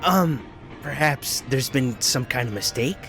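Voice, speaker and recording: A man playing a shifty bandit thug, gravelly and evasive with a stammer, in an anime fandub. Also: medium pitch, animated, a little slow, fairly bright tone, faint music behind. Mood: shifty, nervous.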